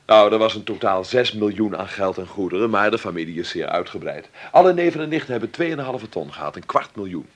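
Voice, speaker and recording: Radio drama role: a mild, courteous male filmmaker and heir, deep and husky, conversational, slightly hesitant. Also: animated, a little fast, hardly any pauses. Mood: pleased, chatty. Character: Karel Vlenderberg, gentle mild filmmaker, newly rich heir. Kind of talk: Dutch radio play acting.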